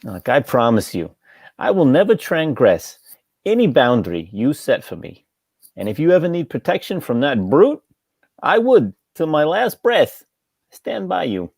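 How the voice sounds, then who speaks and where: A woman's voice: low-pitched, very animated, unhurried, darkish tone, husky, dry recording, studio quality, exaggerated voice. A woman reading the part of a jealous, lovesick, talkative male barber in a stage comedy table read. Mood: pleading, lovelorn.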